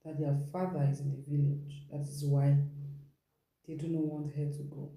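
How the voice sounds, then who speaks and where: A woman's voice: low-pitched, flat delivery, moderate pace, mellow. A woman with a Nigerian accent telling a dramatic story as a host, emphatic and repeating phrases for effect. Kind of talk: dramatic storytelling, retelling someone's account. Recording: echoey room.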